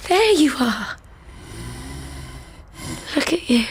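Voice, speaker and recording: An actress playing a tender, anxious daughter in a radio drama, pleading and often slipping into hesitant whispers. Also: female voice, sits high, very animated, very slow, a little hiss or hum, heavily acted.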